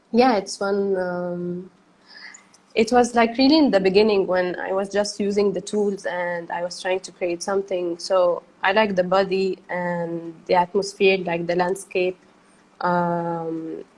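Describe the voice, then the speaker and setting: A woman from Egypt, speaking softly and hesitantly as a guest artist on a video call, with many fillers and easy laughter. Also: medium pitch, rough recording. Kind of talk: casual video-call interview.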